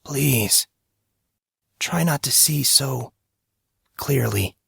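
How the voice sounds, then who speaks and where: Man as anguished teenage boy, pitched up. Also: expressive, drawn-out words, vocal fry, bright, forceful tone, breathy edge, no room sound, clean studio sound, heavily acted. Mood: pleading, wounded. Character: anguished teenage boy, haunted and self-doubting.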